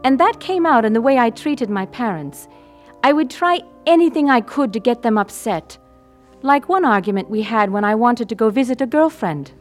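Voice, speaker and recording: A young woman with an Israeli accent tells her own story in an earnest, reflective voice. Her voice sits high. Soft music plays faintly behind her. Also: lively intonation, moderate pace. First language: Hebrew. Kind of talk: radio drama narration and acting.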